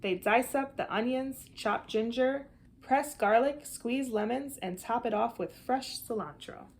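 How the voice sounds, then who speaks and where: A woman reads a children's picture book aloud with an animated, deliberate storytelling delivery. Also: a little high, slightly rough. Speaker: storybook reader.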